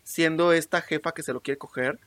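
A woman's voice: deep voice, moderate pace. A young woman with a Mexican accent, chatting casually as a podcast co-host, teasing and wandering off topic in slangy speech. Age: young adult. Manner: animated, teasing, digressive, slangy. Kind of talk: casual bantering chat with a friend.

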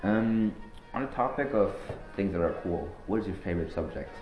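A teenage boy from Norway hosting a joking school podcast, talking in deadpan, slangy banter. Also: sits low, a little fast, roomy sound, dull audio, soft background music. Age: teens. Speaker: podcast host. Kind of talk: joking student podcast interview.

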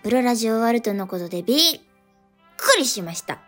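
Polite radio lady reading out listener mail, highish voice, music playing behind her. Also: expressive, quick pace, fairly bright tone. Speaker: radio show co-host. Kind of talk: reading listener letters aloud, chatting. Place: radio studio.

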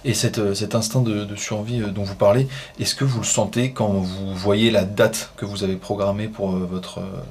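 A man asking probing, halting interview questions. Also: on the low side, level tone, darkish tone, a little hiss or hum.

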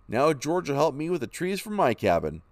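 A man playing a determined pioneer father, eager and firm. The reader's voice rises above his own pitch and bounds through lively intonation. The sound is dry and close. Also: normal speed, no room sound. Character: determined young pioneer father, set on heading west. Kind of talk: storybook reading.

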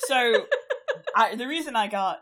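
A woman's voice: a little high, animated, a little slow, a bit bright. A young woman co-hosts a podcast in loose, rambling chat. She laughs often and swears freely as she talks. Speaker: podcast co-host.

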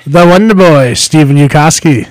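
A man's voice: fairly high pitch, normal speed, poor audio. A man hosting a casual sports talk radio show from a studio, upbeat as he plugs the show and introduces his co-hosts.

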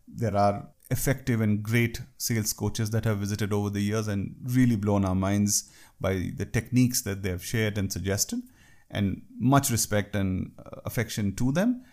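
A man with an Indian English accent gives a talk on working with foreign clients. His voice sits fairly low, and he speaks in a loose, conversational way, dropping in wry asides. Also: normal speed, darkish tone. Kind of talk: talk on workplace culture.

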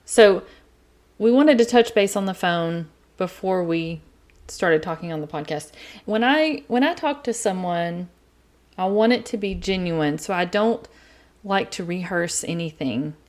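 A middle-aged woman with an East Texas accent, hosting her podcast from a quiet home in an earnest, chatty way. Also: lowish voice, lively intonation. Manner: chatty, earnest, talks faster as she warms up. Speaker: podcast host. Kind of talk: casual solo podcast talk.

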